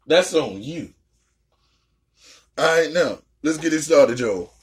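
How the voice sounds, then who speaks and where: A young adult woman hosting a casual reaction chat, talking loud and brash, swearing a lot and trash-talking playfully. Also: deep voice, animated, takes it slowly. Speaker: host.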